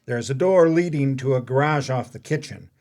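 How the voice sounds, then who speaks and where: A man reading scripted announcements and stage directions as a narrator, in a formal, measured way. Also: mid-range voice, a little mellow.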